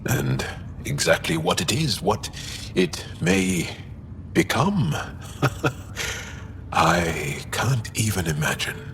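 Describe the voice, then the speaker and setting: A reflective male alien in a sci-fi game, low and creaky. He speaks extremely slowly in halting, gracious phrases that rise and fall widely. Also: sing-song, a bit bright, slight background noise, full character voice. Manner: halting, breaks phrases with pauses. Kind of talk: video game dialogue.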